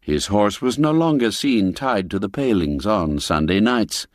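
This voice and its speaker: A man's voice: on the low side, animated, unhurried, dry recording, studio quality. A man narrating a classic tale in a theatrical, dramatic manner. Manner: theatrical, dramatic swings in emphasis. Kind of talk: scripted storybook narration.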